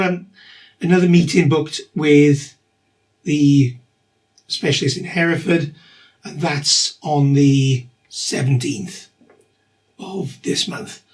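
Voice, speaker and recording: A middle-aged man with a husky voice and a slight cough, talking through his treatment in a rambling, matter-of-fact video diary. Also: fairly high pitch. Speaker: vlogger documenting his cancer treatment.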